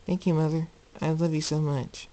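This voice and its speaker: A man's voice: a little high, little pitch movement, normal speed, dark tone, voice pushed up, close to an everyday voice, poor audio. A man voicing a small, affectionate young colt with a pleading, childlike manner. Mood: shy, affectionate.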